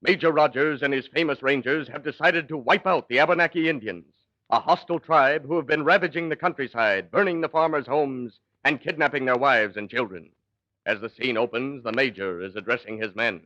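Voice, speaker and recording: A man's voice: medium pitch, fairly quick, pushed and bright, no echo, natural voice. A man acting as the earnest narrator of a mock historical saga, in formal 1940s radio style. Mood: solemn storytelling. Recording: dull 1940s radio recording.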